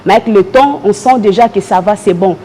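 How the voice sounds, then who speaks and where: A woman from DR Congo with a Congolese French accent, answering as a party member in a political interview in a measured, hesitant way. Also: mid-range voice, mellow, slight background noise.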